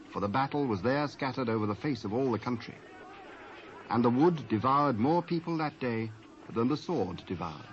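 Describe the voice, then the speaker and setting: A British man narrating scripture in a measured, scripted style with archaic English. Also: mid-range voice. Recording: muffled cassette transfer with faint noise.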